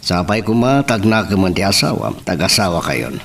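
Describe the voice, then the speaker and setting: A man acts a middle-aged father who runs the family, with wide, swooping intonation and an Ilocano Filipino accent. He sounds authoritative and expressive in radio drama style, a little off the mic. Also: deep voice, normal speed, recorded from a distance, slight background noise, heavily acted. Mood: advising.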